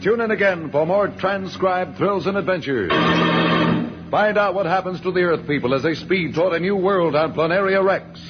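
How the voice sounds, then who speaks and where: A man's voice: sits high, moderate pace. A man announcing an adventure serial in dramatic old-time radio style. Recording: muffled old radio, loud music behind.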